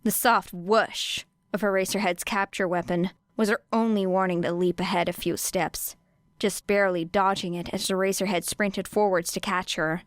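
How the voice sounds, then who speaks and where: A woman's voice: medium pitch, steady tone, normal speed, dry recording, clean studio sound. A woman reading a scripted story as an audiobook narrator.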